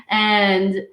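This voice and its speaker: A woman's voice: medium pitch, a little hiss or hum. A woman in her thirties from New Jersey with a New York–New Jersey accent, hosting an interview and asking questions in a hesitant, rambling way with many ums. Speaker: podcast host. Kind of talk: interview chat. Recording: echoey room.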